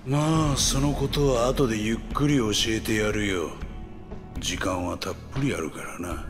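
A man performs a menacing middle-aged gangster villain for a game cutscene. His voice sits deep, with a smug, mocking drawl that talks down to his victim. Prominent music runs behind it. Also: normal speed, lightly acted. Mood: smug, scheming. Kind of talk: dramatic video game voice acting.

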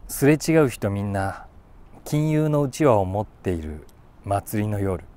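Low-pitched man with very animated delivery. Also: unhurried. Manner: conversational, thinks aloud, animated emphasis. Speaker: YouTube host, voice actor. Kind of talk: casual book talk, reading short poems aloud and commenting. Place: outdoors on a city rooftop.

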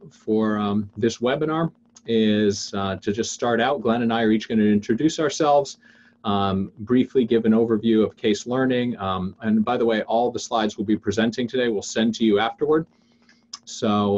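An American man in his fifties presenting a webinar informally, with halting delivery and frequent ums. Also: on the low side, darkish tone, dull audio. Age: 50s. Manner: halting, frequent filled pauses and restarts. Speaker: webinar host and investing teacher. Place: indoors on a video call.

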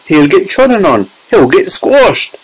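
A man's voice: high-pitched, big pitch swings, measured pace, slightly rough, voice pushed up, full character voice, low-quality recording. Man acting a blunt, exasperated father. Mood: dismayed.

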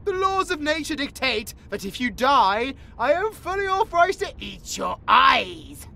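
A man's voice: very high-pitched, sing-song, unhurried, vocal fry, sharp tone, exaggerated voice. A British man playing a pompous, melodramatic tiger-boy cat in a comedic audio roleplay, with a grandiose, theatrical manner. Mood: puzzled, impatient.

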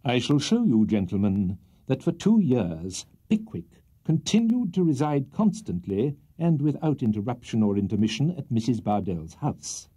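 A man's voice: mid-range voice, very animated, moderate pace, mellow, slightly rough. A man voicing a pompous, bombastic barrister haranguing a jury with theatrical indignation in an audiobook of a Victorian comic novel. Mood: declamatory. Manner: theatrical oratory, indignant and swelling.

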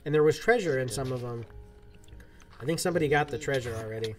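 A man's voice: medium pitch, fast talker, soft background music. A man in his twenties chatting casually on a game livestream and reading out viewer comments. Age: 20s. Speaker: streamer host. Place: small room indoors at a desk.

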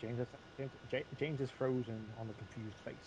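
A man's voice: mid-range voice, fairly even intonation, a little fast, dull audio. A man giving casual opinions as a panelist in an online group discussion, with a noisy connection. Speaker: talk show panelist.

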